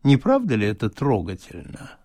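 A man's voice: medium pitch, wildly swooping pitch, moderate pace, mellow, dry recording, clean studio sound. A man voicing a genteel, condescending male landowner who speaks mildly and affably. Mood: affable. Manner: mild, affable, smiling.

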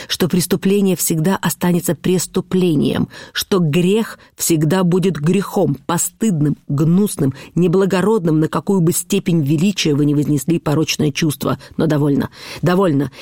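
A stern, cold middle-aged husband, as an audiobook reader puts him on, severe and lecturing in a measured way. The voice sits at an ordinary middle pitch and is recorded dry and close, with no room around it. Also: a little mellow, dry recording, natural voice. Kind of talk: audiobook reading of a classic novel.

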